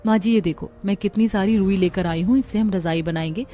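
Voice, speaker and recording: A woman plays a kind, resourceful young village daughter-in-law in a cartoon tale. Her mid-pitched voice is earnest and caring, with music behind it. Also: fairly quick, mellow, natural voice. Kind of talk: cartoon story voice acting.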